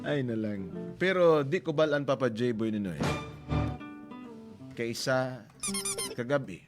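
A playful man in a comic drama chats and jokes. He laughs often and calls his friend by name. Loud guitar music runs behind his voice. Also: mid-range voice, slow. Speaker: comic drama creator and actor. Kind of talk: comic drama acting, casual chat.

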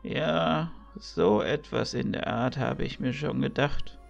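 A man plays a kindly old mentor in a fantasy audio drama. His voice is heavily gravelled and he takes his time, wise and gently teasing. The recording is slightly dull, with faint music underneath. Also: medium pitch, slow. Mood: knowing, gentle. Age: older.

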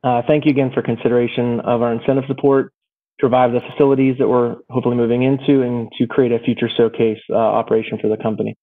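A man's voice: medium pitch, little pitch movement, quick pace. A man speaking for a company, formally thanking a council on a video call through a headset. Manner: grateful, formal closing remarks. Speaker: company representative. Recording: tinny phone-like call audio.

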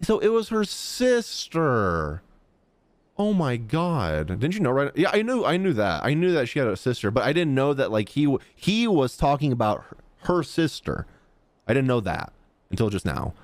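A man in his thirties giving casual, slangy live reaction commentary on a game, animated and expressive. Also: medium pitch, moderate pace, dry recording. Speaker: live streamer. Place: indoor streaming room at home. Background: game music.

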